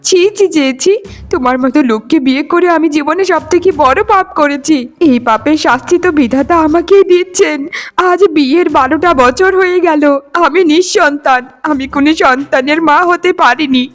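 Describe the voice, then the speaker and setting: High-pitched, reproachful cartoon wife, acted by a woman. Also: moderate pace, natural voice. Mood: reproachful, bitter. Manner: scolding, lamenting, repeats phrases for emphasis. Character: aggrieved, reproachful childless wife of a greedy rich man. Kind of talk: melodramatic Bengali cartoon dialogue.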